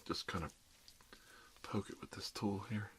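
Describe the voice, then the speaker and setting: A man playing a kindly older doctor in an ASMR role-play, speaking softly in an unhurried, hesitant, reassuring murmur. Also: lowish voice, close to an everyday voice. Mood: attentive, murmuring. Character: kindly older male doctor doing emergency dental work.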